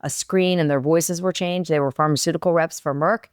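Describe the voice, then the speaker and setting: A woman with a smooth radio-style voice, slightly hoarse, co-hosting a candid chat from bed, animated and teasing. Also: sits low, fairly quick, no echo, studio quality. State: slightly hoarse from a lingering tickle. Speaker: podcast co-host. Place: in bed at home.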